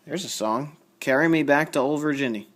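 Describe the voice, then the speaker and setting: A man's voice: fairly high pitch, fairly quick, noisy background. A man giving casual, unscripted show-and-tell commentary, rambling and thinking aloud as he reads labels out. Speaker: record collector showing a haul.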